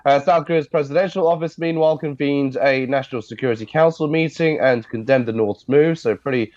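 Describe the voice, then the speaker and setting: A man's voice: mid-range voice, brisk, no room sound. Congested man on a dull video call. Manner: steady explanatory delivery with frequent uh fillers. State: congested, stuffy nose. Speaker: news correspondent. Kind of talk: news briefing over a video call.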